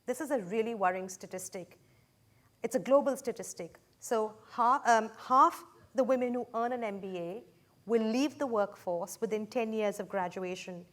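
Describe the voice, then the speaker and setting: A woman moderating a panel discussion, measured and questioning, citing statistics to her guests. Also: on the high side.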